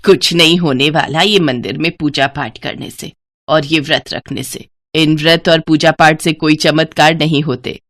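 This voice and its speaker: A woman's voice: deep voice, fairly even intonation, normal speed, fairly bright tone. A woman voicing a cruel, scornful older mother-in-law, harsh and taunting, in a family morality story.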